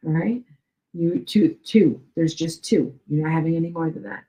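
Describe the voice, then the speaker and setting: A woman's voice: deep voice, moderate pace, warm tone. A middle-aged woman with a Chicago and Wisconsin accent, a psychic medium giving live-stream readings to viewers. She talks chattily, repeats herself and often checks whether her words make sense. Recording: echoey room.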